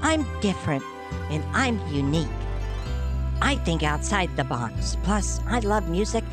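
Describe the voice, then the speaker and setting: A woman acts out a meek young male elf in a Christmas radio play. The voice is lowish for her and very lively in pitch, hesitant yet earnest. Music plays clearly underneath. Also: measured pace. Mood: hurt, determined. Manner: polite, hesitant, eager.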